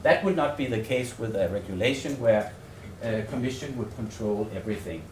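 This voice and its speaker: A man with a Danish accent gives a research presentation in an echoey room, picked up from some distance with faint noise behind him. His pitch is average for a man. He talks tentatively and haltingly, often repeating words as he works out the phrasing. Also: animated. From Denmark. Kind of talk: semi-improvised conference presentation. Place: seminar room in a hotel.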